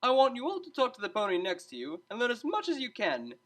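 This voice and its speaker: A woman's voice: fairly high pitch, huge pitch swings, fast talker, a bit bright, pitched above the performer's own voice, heavily acted. A woman voicing a cheerful, unflappable male pony tutor in a story, chatty, upbeat and coaxing. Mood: encouraging.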